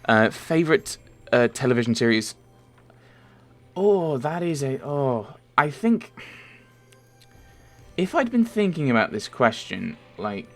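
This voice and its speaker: A young man from Merseyside answering viewer questions in a casual, rambling voice-over, with many ums and self-corrections. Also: mid-range voice. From Merseyside, England. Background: faint game music.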